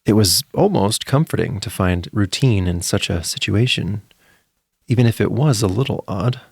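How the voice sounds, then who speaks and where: A man narrating a scripted story in a measured, suspenseful storytelling manner. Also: lowish voice, expressive, vocal fry, slightly rough, dry recording, studio quality.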